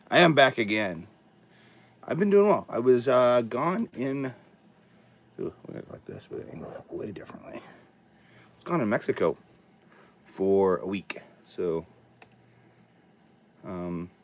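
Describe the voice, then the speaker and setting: A man with a cold and a raspy, hoarse voice, rambling casually as he hosts a livestream from his home studio. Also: mid-range voice, big pitch swings, unhurried, pauses often. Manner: rambling, chatty, talks to himself while setting up. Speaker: livestream host.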